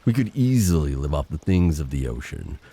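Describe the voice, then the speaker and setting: Wounded male siren , man acting, audio roleplay: gravelly, damaged throat, swooping delivery, grim mockery. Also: very deep voice, sing-song, brisk, dark tone, heavily acted. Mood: grim, resentful. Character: vengeful wounded male siren, grimly mocking.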